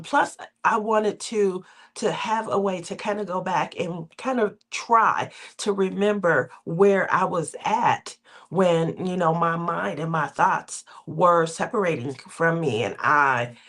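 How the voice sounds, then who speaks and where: A woman's voice: on the low side, a bit bright. Nasal-sounding woman with a cold. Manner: rambling, laughs often, drawn-out filler words. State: recovering from a cold, sore throat. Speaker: craft livestream host. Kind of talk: casual chatty livestream.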